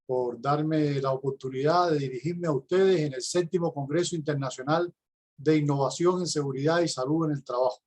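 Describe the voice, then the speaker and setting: Man, Cuban accent, middle-aged, high voice, conference lecture, measured, explanatory. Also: a little mellow. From Cuba. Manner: measured, explanatory, with occasional hesitations. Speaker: university professor and conference speaker.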